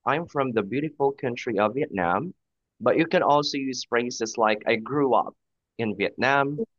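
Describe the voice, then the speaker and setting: A man's voice: medium pitch, measured pace, a bit bright, no room sound. Guy teaching english over a video call, patient, lots of example phrases, kinda dull lo-fi sound, dry. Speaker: English tutor. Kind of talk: one-on-one conversation lesson.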